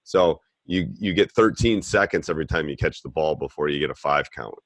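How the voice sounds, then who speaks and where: A man with a very low, deep voice talks basketball coaching in a loose, rambling way, like he's chatting on a call. He sounds middle-aged and plain-spoken. The sound is dry and close, with no room around it. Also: fairly quick, no room sound. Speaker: basketball coach and podcast host.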